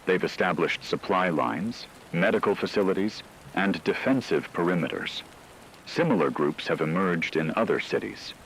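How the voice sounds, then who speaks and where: A man playing a grave, analytical news anchor in an apocalypse radio drama, speaking in a measured, formal way. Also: low-pitched, lively intonation, a bit bright, a little hiss or hum, low-quality recording. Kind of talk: scripted radio news commentary.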